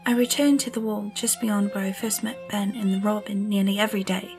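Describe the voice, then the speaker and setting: A woman's voice: medium pitch, steady tone, brisk, a little mellow, soft background music, natural voice. An actress playing a sour, curious young girl who narrates her own story, earnest and full of questions. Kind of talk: audio drama narration and dialogue.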